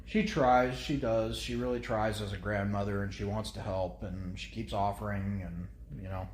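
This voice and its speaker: A man's voice: fairly low pitch, fairly even intonation, normal speed, slight background noise. A man in his thirties co-hosting a casual parenting podcast, with a commanding voice that carries. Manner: commanding, carrying voice. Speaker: podcast co-host, father. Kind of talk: casual chat. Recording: echoey room.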